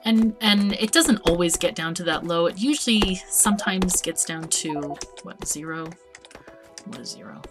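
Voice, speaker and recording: Relaxed, chatty woman streamer. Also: on the low side, normal speed, music in the background. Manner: relaxed, chatty, often restarts words. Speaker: streamer hosting a multiplayer game event. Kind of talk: casual chat while playing.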